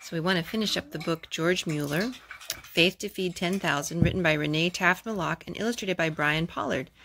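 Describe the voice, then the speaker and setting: A woman hosting a children's storytime, reading aloud in an expressive storytelling manner. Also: on the low side, a little fast, a little hiss or hum.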